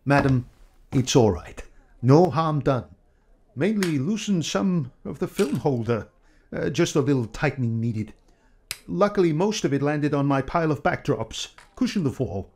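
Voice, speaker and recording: An actor playing a polite, hesitant old-fashioned photographer in an audio drama. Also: male voice, fairly high pitch, lively intonation, normal speed, darkish tone, breathy edge.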